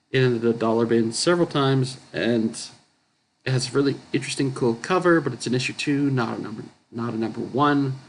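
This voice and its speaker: Man, hoarse fading voice, throat-clearing, casual rambling haul talk, mid pitch. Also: a little hiss or hum, low-quality recording. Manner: rambling, self-correcting, chuckling. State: losing his voice, clearing throat. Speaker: comic book collector vlogger.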